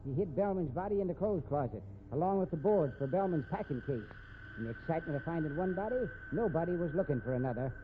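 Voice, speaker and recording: An actor playing a wily, genial old amateur sleuth in a 1950s radio drama, with a folksy ungrammatical drawl and a habit of repeating himself. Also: male voice, fairly high pitch, animated, fast talker, natural voice. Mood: shrewd, accusing. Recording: tinny band-limited old radio recording, hissy.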